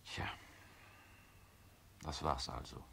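An actor playing a weary, sceptical boss of robot servants in a 1970 German radio play, wry and sighing. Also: male voice, slow pace, sharp tone. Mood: weary, pensive. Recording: old radio play, faint noise.

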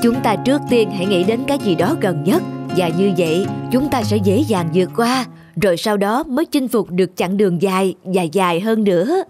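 A woman's voice: mid-range voice, expressive, moderate pace, few pauses, a little mellow, soft background music, natural voice. A woman voicing an encouraging middle-aged male teacher in a cartoon, instructive and reassuring. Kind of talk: animated moral-story dub.

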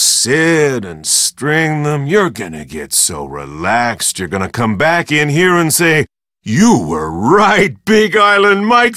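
An actor playing a boisterous Hawaiian tour operator in a video game, with hearty, pushy sales patter in short punchy phrases. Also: male voice, medium pitch, sharp tone, no echo, studio quality, full character voice. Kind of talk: video game character dialogue.

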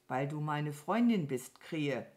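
A woman voicing a gentle, affectionate, dreamy cow in a children's storybook, with a mild, mooing manner. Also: mid-range voice, expressive, slow, voice pushed up.

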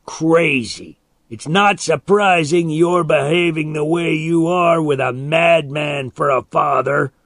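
A man voicing a big, angry bully in a story, shouting, taunting and threatening in a raised voice. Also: medium pitch, takes it slowly, dry recording, close to an everyday voice.

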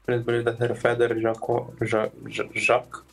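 Female game streamer: extremely low pitch, terse casual remarks, faint music behind. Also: monotone, moderate pace, creaky. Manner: terse, offhand. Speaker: gaming streamer.

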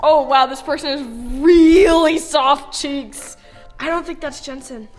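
A woman's voice: high-pitched, fairly even intonation, darkish tone, soft background music. A young woman vlogging a blindfold challenge in a living room, giggly and dramatic. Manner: giggly, dramatic, quick reactions. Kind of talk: excited casual chat. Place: bright living room indoors.

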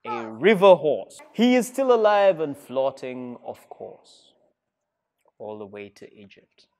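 A man's voice: medium pitch, very animated, slow, a little mellow, a little hiss or hum. A middle-aged man with a Ugandan accent reading a rhyming picture book aloud to children in a playful, encouraging way. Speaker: storyteller reading to schoolchildren. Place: indoor school reading room with seated children.

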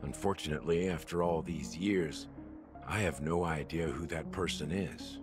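A male actor playing a weary, remorseful middle-aged officer in a video game, speaking slowly and broodingly with heavy pauses. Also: rumbling low, over music. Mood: weary, sincere. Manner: slow, brooding, measured delivery with heavy pauses. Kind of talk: scripted video game dialogue.

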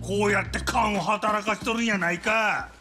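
A male actor playing a brash, impatient, middle-aged yakuza boss with a Kansai accent and a gruff, mercurial manner in a game cutscene. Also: high voice, fairly even intonation, normal speed, bright, forceful tone, soft background music. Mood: irritated. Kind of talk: Japanese video game cutscene acting.

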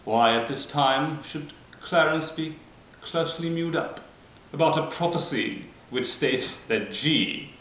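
A man playing a bitter, scheming, deformed nobleman, declaiming a theatrical Shakespearean monologue deliberately, with dramatic pauses. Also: fairly high pitch, close to an everyday voice. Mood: scheming, menacing. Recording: echoey room, muffled, faint hiss.

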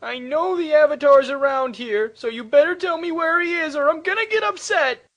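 A man's voice: extremely high pitch, normal speed, a bit bright, highs cut off. A man playing a petulant, hot-headed teenage villain prince in a cartoon parody, whiny and awkwardly threatening. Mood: awkward threat. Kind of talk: comedic cartoon parody dub.

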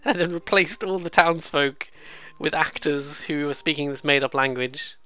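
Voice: mid-range voice, moderate pace, pushed and bright, smooth. A British let's player giving casual, rambling, amused commentary on a visual novel, with some swearing. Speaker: let's play host.

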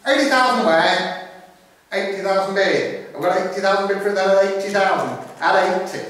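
A man's voice: high-pitched, brisk, a bit bright. A middle-aged man calling a live property auction in emphatic, repetitive patter, recorded at a distance in an echoey room. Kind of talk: live property auction calling with rapid repeated bid chant. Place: indoor auction room at a lectern. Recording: very echoey room, far from microphone.

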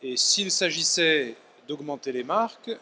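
A man's voice: a little high, expressive, a little slow, bright, forceful tone, a little hiss or hum. A middle-aged man, a professor guest on a trade fair panel, talking articulately and gesturing as he speaks into a handheld mic. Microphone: handheld microphone.

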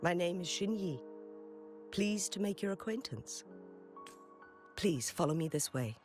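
An actress playing a dignified retired noblewoman in a game, courteous and formal, with measured, calm authority. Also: fairly low pitch, over music. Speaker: game character. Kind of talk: scripted game dialogue.

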